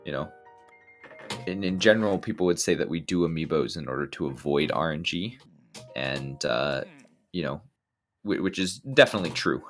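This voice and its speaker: A man giving live speedrun commentary, explaining routes in a relaxed way with frequent ums and restarts. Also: a bass voice, normal speed, faint music behind.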